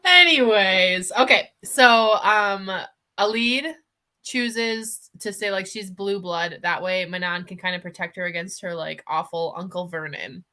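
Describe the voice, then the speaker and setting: A woman co-hosting a casual, excited book chat, opinionated and giggly, sprinkling "like" through her sentences. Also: medium pitch, unhurried. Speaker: book discussion co-host. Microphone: livestream video call.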